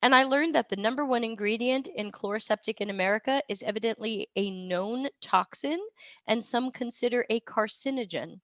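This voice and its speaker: A woman's voice: a little high, normal speed, no room sound, studio quality. A woman who is sick with a cold, hosting a live Q&A stream, chatty and rambling, often stopping to correct herself. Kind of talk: casual live Q&A. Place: hotel or temporary room while travelling.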